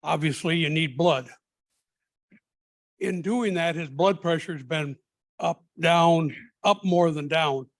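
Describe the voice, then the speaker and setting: Man, audience questioner, slightly high voice, thankful. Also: slow pace, pauses often. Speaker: audience member asking a question. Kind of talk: question from the floor.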